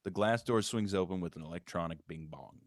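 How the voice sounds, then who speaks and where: A man with a deep voice narrates screenplay action for a table read. He reads scripted directions, recorded close and dry. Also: brisk, dry recording. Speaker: stage directions reader.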